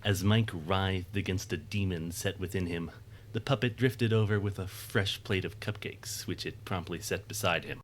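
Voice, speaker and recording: A man with a low voice narrates a scripted story. His delivery is measured and literary with a wry edge, over faint background noise.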